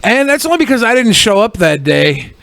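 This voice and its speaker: A man with a slightly high voice chats as a podcast co-host. He rambles casually in crude, comedic banter, recorded close and dry. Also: big pitch swings, fairly quick, gravelly, a bit bright, dry recording.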